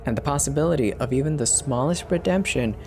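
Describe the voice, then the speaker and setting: A man's voice: mid-range voice, lively intonation, quick pace, darkish tone, a little hiss or hum. A man narrating a horror story as a dramatic scripted reading. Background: background music.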